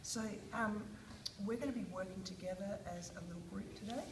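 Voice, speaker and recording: A woman's voice: medium pitch, brisk, echoey room, noisy background. A woman with an Australian accent, teaching a small group of children a reading lesson, prompting them with questions and encouragement. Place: classroom.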